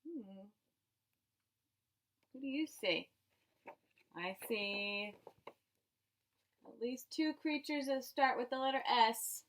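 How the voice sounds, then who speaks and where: A woman teacher reading a picture book aloud to young children, expressive and instructive, stretching words for emphasis and pausing to ask questions. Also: a little high.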